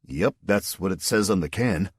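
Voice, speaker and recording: Male reader doing a dry-witted everyman, low, husky, close and dry. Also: expressive, moderate pace, no room sound. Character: wry, wary everyday man.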